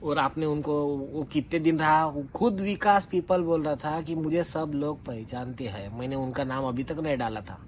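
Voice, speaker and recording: A man's voice: fairly high pitch, normal speed, hardly any pauses, rough recording. A man giving an informal, colloquial rebuttal in an accusatory, confrontational manner, like someone airing a grievance. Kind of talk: informal spoken rebuttal monologue. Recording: clear background noise with insect-like chirping.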